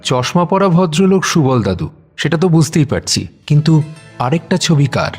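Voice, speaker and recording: A man's voice: fairly high pitch, sing-song, moderate pace, slightly rough, exaggerated voice. A man acting a curious, earnest young city visitor in an audio drama, with music behind him. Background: music bed with cricket sounds.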